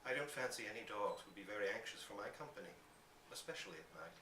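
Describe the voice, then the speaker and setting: A man voicing a sly, feral teenage boy from a storybook, patronizing and unsettling, speaking with slow, teasing relish. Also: on the low side, animated, sharp tone, pitched below the performer's own voice. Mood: cryptic, teasing. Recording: roomy, distant live recording with hiss.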